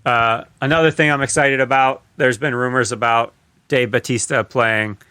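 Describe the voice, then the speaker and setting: Bright-voiced man, enthusiastic and sweary. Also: mid-range voice, fairly even intonation, moderate pace, smooth, dry recording. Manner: enthusiastic, profane fan talk. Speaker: podcast co-host. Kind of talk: casual chat.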